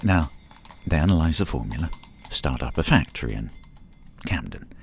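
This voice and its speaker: Very deep, gravelly, musing theorist. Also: male voice, very animated, moderate pace, a little hiss or hum, heavily acted. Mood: musing, persuasive. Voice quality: gravelly, husky. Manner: musing, emphatic, explanatory. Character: David, eager theorising man lecturing on time travel. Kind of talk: audio drama acting.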